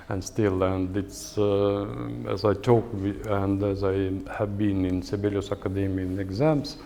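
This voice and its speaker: A man in his sixties with an Estonian accent, giving a lecture as a guitar professor in hesitant English with many fillers and pauses. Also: low voice, little pitch movement, dark tone, slight background noise. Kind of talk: lecture with halting non-native English. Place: lecture hall at a music academy.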